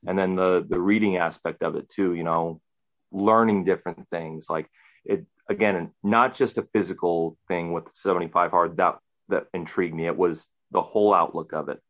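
A man's voice: low-pitched, fairly even intonation, normal speed, lo-fi sound. A thirty-year-old man, a guest on a round-table podcast, talking earnestly and rambling a little. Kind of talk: casual round-table talk.

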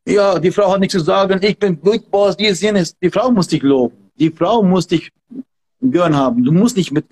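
Fired-up guy w a high husky voice, keeps repeating himself for emphasis. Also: normal speed, darkish tone. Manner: emphatic, repetitive, fervent. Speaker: martial arts instructor. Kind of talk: passionate podcast talk.

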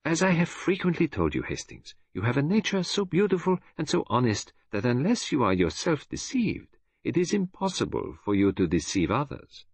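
A man voicing a courteous, shrewd detective in an audiobook, with a gentle, precise, self-assured manner. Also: mid-range voice, huge pitch swings, moderate pace, husky, dry recording, pitched above the performer's own voice, exaggerated voice. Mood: pleased, explaining. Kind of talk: audiobook character voice.

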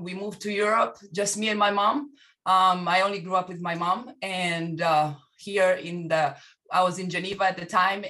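A woman from Tanzania, a guest on a video call, telling her life story in an animated way and laughing often. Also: fairly low pitch. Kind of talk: casual conversation.

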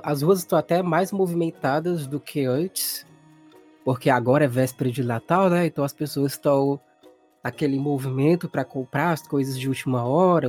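A man's voice: mid-range voice, a little mellow, husky. A man acting as game master, describing scenes in a casual, conversational, explanatory way.

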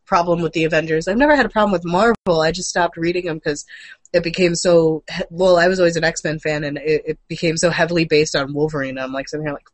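A man's voice: fairly high pitch, fairly even intonation, quick pace, dry recording. A man co-hosting a casual gaming and comics news chat, informal and a little sweary in how he talks. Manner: informal, mildly profane. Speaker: show host.

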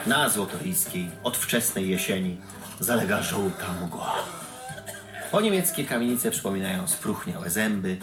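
A man's voice: sits low, expressive, unhurried. An actor playing a wry, bitter man from a bleak provincial town, telling his story in a sardonic audio-play monologue. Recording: roomy produced audio drama with music. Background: music.

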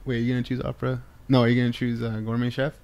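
A man's voice: mid-range voice, completely flat delivery, rapid-fire, vocal fry, a little mellow, muffled recording. A man hosting a casual podcast with his wife, joking and teasing with lots of fillers. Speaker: podcast host.

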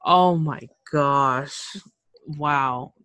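A woman from Canada hosting a casual interview podcast, chatty and quick to exclaim. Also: low voice, fairly bright tone, no echo. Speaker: podcast host.

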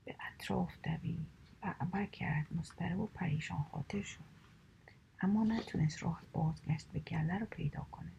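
A woman reading animal fables aloud in a soft, steady whisper, storytelling for relaxation. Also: on the low side, creaky, highs cut off, slight background noise.

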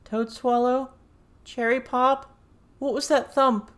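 A frightened girl in an audiobook tale, acted out by a man, pitched very high and calling out loudly. She sounds anxious and exposed, raising her voice to reach her friends. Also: flat delivery, slow, heavily acted. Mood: scared, calling out. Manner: raised voice, calling out.

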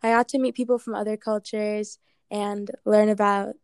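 A woman's voice: medium pitch, little pitch movement, moderate pace, a little mellow, clear, resonant tone, dry recording, studio quality. A woman from Ghana with an American accent, answering interview questions casually with many "like" and "um" fillers.

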